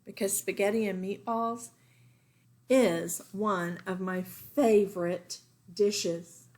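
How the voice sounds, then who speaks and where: A woman's voice: medium pitch, steady tone, takes it slowly, a little mellow, natural voice. A woman reading the lines of a mild, contented father in a storybook. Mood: pleased.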